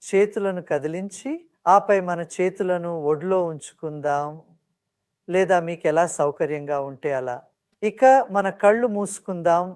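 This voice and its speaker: A meditation instructor guiding listeners in a calm, soothing and measured manner, pausing between instructions. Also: mid-range voice, clean tone, no room sound, clean studio sound. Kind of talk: guided meditation narration.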